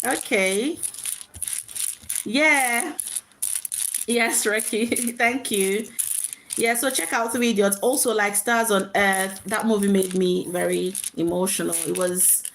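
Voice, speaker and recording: A woman's voice: mid-range voice, steady tone, slow, a bit bright, a little hiss or hum. A woman hosting a casual live chat, speaking reflectively in short, repeated phrases to her viewers. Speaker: live stream host.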